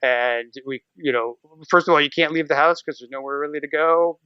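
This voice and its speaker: Smooth-voiced American man, slightly dull audio. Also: a little high, fairly quick, dry recording. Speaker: podcast guest. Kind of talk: casual podcast interview chat.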